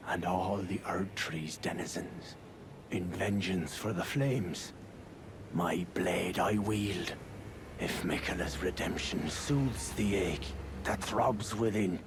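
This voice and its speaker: An actor playing a grave, wronged warrior in a fantasy game, speaking solemn, archaic vows of revenge. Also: male voice, sits low, background noise. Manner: grave, solemn, slow declaiming. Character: vengeful man, wronged warrior swearing bloody retribution. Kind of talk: scripted video game drama, archaic formal speech.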